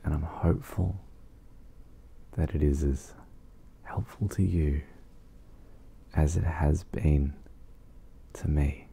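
Male meditation guide for ASMR, extremely low with gravelly fry, soft and soothing, leaving long pauses. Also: fairly even intonation.

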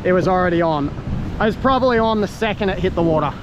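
A young man giving excited running commentary while fighting a fish on sea rocks, straining as he goes, recorded on a head-mounted camera. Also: high voice, fast, dull audio. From Australia. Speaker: fishing vlogger. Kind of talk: live commentary while fishing. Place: outdoor sea rocks by crashing surf. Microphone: head-mounted action camera. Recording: wind and surf noise on camera mic.

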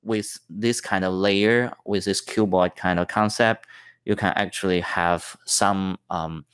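A man's voice: deep voice, steady tone, a little slow, a bit bright, clean tone, no room sound, clean studio sound. A man giving a technical conference talk over slides, explaining step by step with many fillers and restarts. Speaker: tech presenter.

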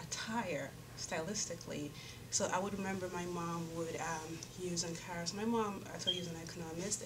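Woman designer, 30s, low gravelly voice, reflective with fillers; far mic, heavy hiss. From Nigeria. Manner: thoughtful, many fillers and restarts. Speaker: fashion designer guest. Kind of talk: interview answers. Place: indoor fashion showroom. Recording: distant mic, loud background hiss.

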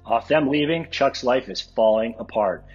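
A man's voice: mid-range voice, moderate pace, a little mellow, slightly rough, lo-fi sound, soft background music. A man reading screen directions aloud at a live table read.

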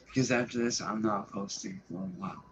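A man's voice: lowish voice, fairly even intonation, a little fast, husky. A man on a livestream ranting angrily, with heavy profanity and threatening emphasis. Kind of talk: angry livestream rant. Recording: dull lo-fi stream audio with faint hiss.

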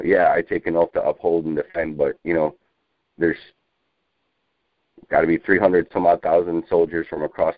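A man talking informally about politics as an activist on a phone conference call, rambling and insistent, with halting, repetitive phrasing. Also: sits low, dry recording. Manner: halting, repetitive, insistent, peppered with you know. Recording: muffled narrowband phone conference line.

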